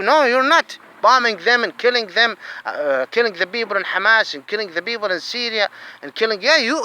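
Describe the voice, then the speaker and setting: Agitated high-voiced Saudi-accented man. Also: poor audio. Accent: Saudi Arabian. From Saudi Arabia. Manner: agitated, swings between low muttering and loud outbursts. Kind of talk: ranting monologue to camera.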